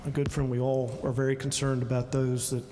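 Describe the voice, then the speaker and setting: A man legislator giving a measured, explanatory floor speech with frequent fillers. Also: medium pitch, level tone, warm tone, a little hiss or hum. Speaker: state delegate. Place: legislative chamber. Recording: long hall reverb, faint ambience.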